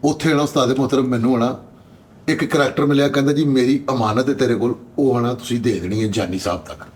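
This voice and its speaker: A man's voice: medium pitch, steady tone, quick pace, darkish tone. A man with a Pakistani Punjabi accent telling anecdotes in casual chat on a comedy show.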